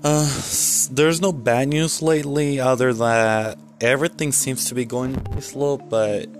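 A man's voice: medium pitch, steady tone, unhurried, faint music behind. A man in his twenties talking candidly in a casual vlog, rambling with many fillers and restarts. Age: 20s. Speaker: vlogger.

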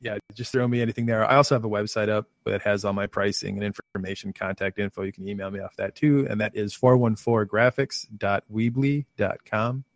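Gravelly, hesitant man. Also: on the low side, steady tone, brisk, hardly any pauses, no room sound. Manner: hesitant, lots of fillers. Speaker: guest artist. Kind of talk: casual podcast chat.

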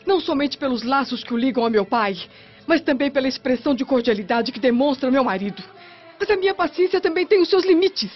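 High-voiced, dignified Roman noblewoman. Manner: formal, eloquent, declamatory. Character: indignant, dignified married Roman noblewoman defending her honour. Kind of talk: radio drama acting. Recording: muffled radio drama with faint music.

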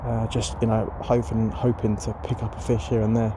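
A man chatting casually by a lake about carp fishing, rambling with plenty of fillers, his voice going hoarse. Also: lowish voice, flat delivery, normal speed. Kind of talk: casual vlog chat to camera. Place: outdoors by a lake. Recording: noisy outdoor recording.

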